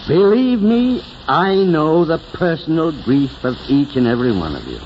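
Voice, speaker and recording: An actor playing an elderly, sentimental small-town mayor with a solemn, oratorical manner, in 1940s radio drama style. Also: male voice, a little high, very animated. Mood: solemn, stirring. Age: older. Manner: oratorical, solemn, drawn-out phrasing. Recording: muffled, hissy 1940s radio recording.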